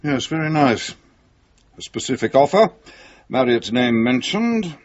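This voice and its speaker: An actor playing a dry, authoritative police superior who speaks with measured command in a radio drama. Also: male voice, medium pitch, very animated, a little hiss or hum. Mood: dry, satisfied. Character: dry, authoritative senior male police boss. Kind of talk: 1980s British radio drama acting. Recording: dull lo-fi radio drama recording.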